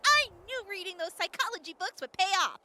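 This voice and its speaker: A sardonic female wasteland companion played by a woman from an audio drama. The voice is extremely high and sharp, swinging animatedly in pitch. The delivery is blunt and teasing, full of scoffs. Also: dry recording, heavily acted. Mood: teasing, flustered. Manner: blunt, sarcastic, sighs and scoffs.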